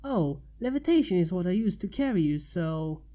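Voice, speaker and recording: Woman acting young female unicorn, storybook character, curious, gently concerned, slightly proud, soft-edged tone. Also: fairly low pitch, big pitch swings, moderate pace. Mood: relieved.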